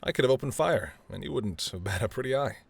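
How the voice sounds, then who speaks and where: A man's voice: medium pitch, expressive, fast talker. A man playing a charming, teasing mafia boss who is smitten, speaking intimately and playfully to the listener in a roleplay audio drama. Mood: apologetic, chatty. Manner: smooth-talking, playful, flirtatious, addresses listener as doll. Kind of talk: intimate second-person roleplay audio acting.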